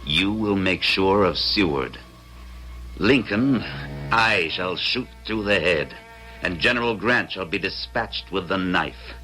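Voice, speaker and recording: Low-voiced, bright-edged male villain, grandiose 19th-century plotter, old radio drama with faint music. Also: animated, takes it slowly, heavily acted. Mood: conspiratorial, grandiose. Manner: grandiose, theatrical. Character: scheming, arrogant 19th-century actor turned assassin plotter.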